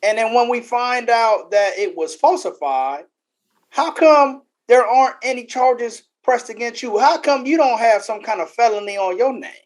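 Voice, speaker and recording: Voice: mid-range voice, expressive, moderate pace. An American podcast co-host giving opinions in casual panel talk, speaking emphatically and often repeating phrases for weight.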